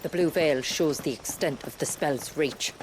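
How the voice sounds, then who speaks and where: Low-pitched female video game guide, stoic and world-weary, dry matter-of-fact delivery. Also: measured pace, background noise. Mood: grave. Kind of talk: video game cutscene acting.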